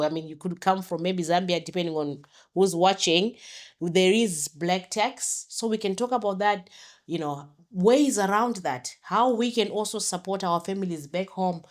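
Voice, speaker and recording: Chatty middle-aged woman from Zimbabwe, solo podcast host, lower voice, promotional, self-correcting.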